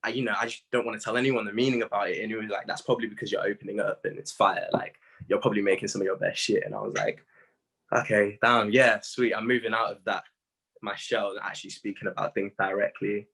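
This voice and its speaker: A man's voice: on the low side, fast, gravelly, fairly bright tone, husky, low-quality recording. A British man in his late teens speaking as a musician in a casual interview, candid and self-reflective, with plenty of slang.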